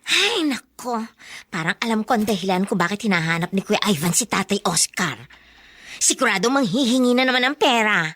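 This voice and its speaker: A woman's voice: mid-range voice, lively intonation, fairly quick, a bit bright, slightly rough. An actress playing a chatty young female household helper in a Filipino radio drama, with an exclaiming, gossipy manner. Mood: knowing, suspicious.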